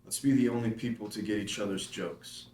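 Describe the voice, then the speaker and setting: A man's voice: fairly low pitch, fairly even intonation, a little fast, darkish tone, reverberant, natural voice. A man reading Ethan, a playful devoted husband. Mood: tender. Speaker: actor in a table read. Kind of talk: screenplay table read.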